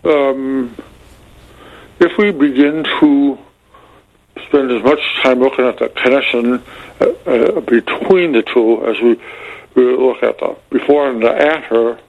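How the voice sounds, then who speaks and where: A man calling a radio show, halting and hesitant as he searches for words, with effortful articulation from a speech impediment. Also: medium pitch. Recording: slightly dull, band-limited radio call.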